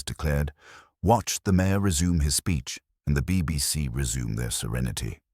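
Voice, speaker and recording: A man narrating a wry mystery story in a literary, measured, dryly ironic manner. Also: very deep voice, no echo, clean studio sound.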